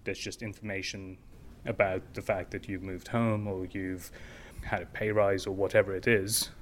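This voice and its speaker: A man's voice: low-pitched, little pitch movement, moderate pace, a little hiss or hum. A young man, a startup founder, answering in a casual interview with long explanations full of fillers and restarts.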